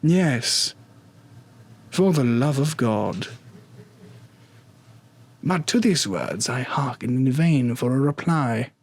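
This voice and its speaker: A man playing a cold, vengeful nobleman who narrates a gothic horror story in a theatrical, formally phrased manner with a husky voice. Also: medium pitch, lively intonation, slow pace, long pauses, full character voice. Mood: cold, impatient. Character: cold, vengeful murderous nobleman recounting his crime.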